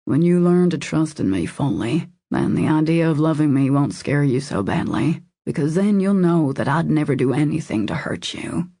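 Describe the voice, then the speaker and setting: A woman voicing a devoted, protective man in an audiobook romance, in a low husky murmur, earnest, intimate and reassuring. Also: very animated, normal speed, few pauses, dark tone, no room sound, studio quality, heavily acted.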